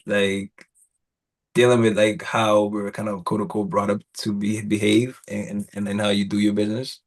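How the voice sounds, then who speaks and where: A man hosting an interview, asking questions in a loose, halting way with many restarts and fillers. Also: on the low side, flat delivery. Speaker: interview host.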